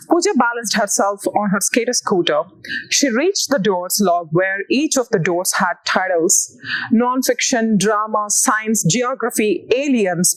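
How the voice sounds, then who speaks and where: Woman, Indian accent, author reading fiction, scripted narration, deliberate phrasing, medium pitch. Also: rough recording. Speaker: author reading own novel.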